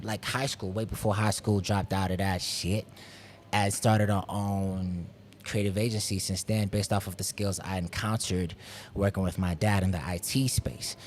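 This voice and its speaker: A South African man in his twenties, a guest explaining his agency in a relaxed way. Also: on the low side, slight background noise. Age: 20s. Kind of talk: casual interview talk.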